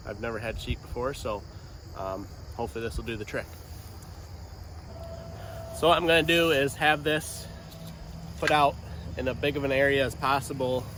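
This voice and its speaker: Stuffy-nosed man vlogging in a windy pasture. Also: medium pitch. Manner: rambling, hesitant, many ums. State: stuffy with a head cold. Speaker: farm vlogger. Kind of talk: casual outdoor vlog. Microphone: camera mic, no external mic.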